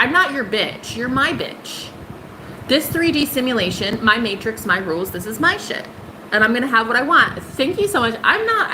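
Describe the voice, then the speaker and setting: Young woman, sassy livestream tarot reader, mid-pitched voice, steady room noise behind. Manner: sassy and emphatic, swings high for punchlines. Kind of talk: casual livestream tarot reading.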